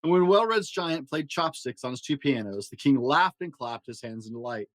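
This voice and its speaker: A man narrating a children's storybook aloud with expressive delivery. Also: medium pitch, moderate pace. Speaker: storyteller and host.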